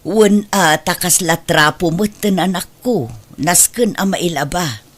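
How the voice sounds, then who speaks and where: A woman acting a slow-spoken, long-suffering Ilocano mother. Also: lowish voice, expressive. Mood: resigned, gentle. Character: patient, long-suffering mother of grown children, forgiving. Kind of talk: Ilocano audio drama acting.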